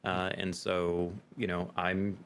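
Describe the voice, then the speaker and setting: A man in a casual interview, talking as a guest in a halting, reflective way. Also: deep voice, completely flat delivery, fairly bright tone. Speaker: podcast guest, actual-play game master. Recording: clear background noise.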